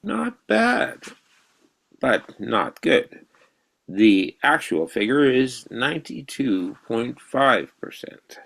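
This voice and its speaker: An actor playing an elderly, intense mathematician who quizzes his pupil in a deliberate professorial way in a radio drama. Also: mid-range voice, very animated, gravelly, fairly bright tone, exaggerated voice. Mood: brisk, testing. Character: elderly, intense mathematician mentor, excited and probing.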